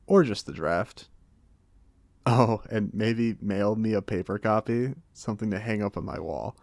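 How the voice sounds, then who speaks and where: Man playing a low-voiced, courteous British vampire. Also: unhurried, vocal fry, a little mellow, dry recording. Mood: cheerful, gracious. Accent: British, put on by an American. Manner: polite, formal turns of phrase, wryly conversational. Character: genial, chatty, courteous centuries-old male vampire being interviewed. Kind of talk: ASMR audio roleplay monologue.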